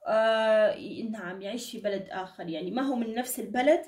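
Woman, fairly high voice, slightly tired, reassuring fortune-teller, roomy echoing sound. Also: quick pace, a little mellow. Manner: reassuring, uses endearments and blessings. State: tired, strained voice. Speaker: card reader, channel host. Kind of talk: informal fortune-telling reading to camera. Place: indoor room at a table. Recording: echoey room, distant microphone.

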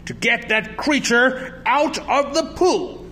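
Man acting a loud, high-strung swim coach. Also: big pitch swings, takes it slowly, slight background noise, full character voice. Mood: alarmed, upset. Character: blustering, bellowing male school swim coach.

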